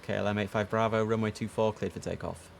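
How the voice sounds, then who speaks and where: A man's voice: on the low side, fairly even intonation, fast, creaky. A man livestreaming as an air traffic controller, giving clipped formal radio instructions and muttering casual commentary to himself in between.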